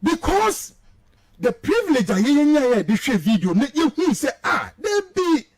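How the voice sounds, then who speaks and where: A Ghanaian man with a very high voice argues like a fired-up political pundit. His pitch leaps around in animated swings as he switches between Twi and English. He stresses key phrases by saying them again. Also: moderate pace. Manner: emphatic, rhetorical, repeats phrases for stress. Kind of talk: heated political commentary, mixing Twi and English.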